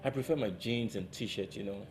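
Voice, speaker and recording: A man's voice: lowish voice, moderate pace, over music, close to an everyday voice. An actor playing an easygoing, self-assured married IT man chatting with a colleague in a stage play. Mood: apologetic, chatty.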